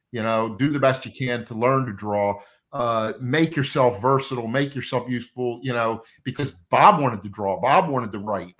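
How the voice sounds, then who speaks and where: A man's voice: mid-range voice, a bit bright. A middle-aged or older man telling rambling anecdotes as a guest in a casual interview about his working life. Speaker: comic book artist and interview guest. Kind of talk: casual reminiscing interview chat.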